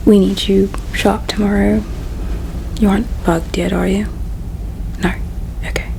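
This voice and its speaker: Woman as a slow, whispering, gentle alien royal. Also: medium pitch, little pitch movement, a little hiss or hum, low-quality recording. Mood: hushed, caring. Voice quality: breathy, mostly whispered. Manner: hushed, slow, tender, halting delivery. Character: gentle, resigned female alien royal, self-sacrificing and caring. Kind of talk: whispered ASMR roleplay.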